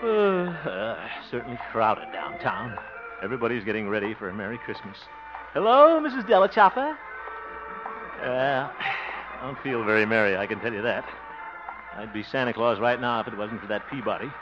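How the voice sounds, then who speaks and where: An actor playing a vain, blustery middle-aged schemer in 1950s radio comedy, chuckling and sputtering. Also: male voice, mid-range voice, very animated, moderate pace, over music, natural voice. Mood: indignant grumbling. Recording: muffled, very noisy old radio recording.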